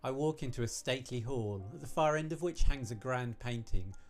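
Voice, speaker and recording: A man's voice: mid-range voice, fairly quick. A man reading a visual novel aloud as a dramatic readthrough. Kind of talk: dramatic readthrough with character voices and asides.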